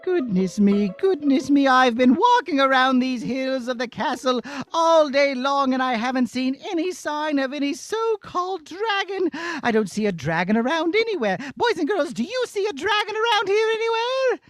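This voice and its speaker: Performer as a high, excitable puppet princess. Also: normal speed, no room sound, clean studio sound, faint music behind. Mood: puzzled, chatty. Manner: exclamatory, flustered, addressing children directly. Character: excitable, skittish princess searching for a dragon. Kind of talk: children's puppet show acting.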